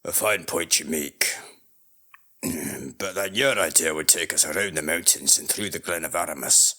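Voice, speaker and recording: A man playing a confident, stubborn warrior in a fantasy audio drama, with a gruff, husky voice. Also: very deep voice, normal speed, pushed and bright, exaggerated voice. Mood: confident, argumentative.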